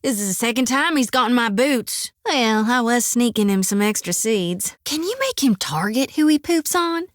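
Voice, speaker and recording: A woman's voice: on the high side, expressive, moderate pace, few pauses, dry recording, close to an everyday voice. An actress voicing a folksy, earnest young woman priest of death in a video game, with a drawl. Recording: dry studio recording.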